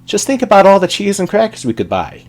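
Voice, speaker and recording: A man's voice: sits high, wildly swooping pitch, fast, darkish tone, pitched above the performer's own voice. A man voicing a boastful female show pony in a story, a showy and dismissive saleswoman. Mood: pleased, dismissive.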